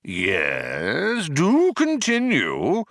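A man voicing a pompous, merciless alien male poet, with a whirring, strident voice that climbs high as he declaims. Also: huge pitch swings, very slow, fairly bright tone, dry recording, clean studio sound, full character voice. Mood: surprised, cold.

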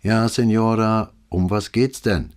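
A man voicing an unbothered male police dispatcher who answers flatly. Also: lowish voice, a little slow, clear, resonant tone, pitched above the performer's own voice, close to an everyday voice. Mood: unmoved. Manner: flat and unbothered.

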